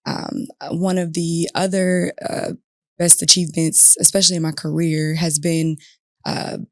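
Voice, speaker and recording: A woman's voice: deep voice, level tone, a little slow, no echo, studio quality. A woman speaking as a guest in a casual podcast conversation, earnest and sprinkled with ums and uhs. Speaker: guest, business development manager.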